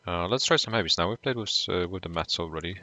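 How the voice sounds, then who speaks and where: A man from Poland with a Polish accent, whose first language is Polish, calmly commenting on his game for a live stream and greeting viewers in chat. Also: low-pitched, a little hiss or hum. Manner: calm, unhurried, greets chat viewers between plays.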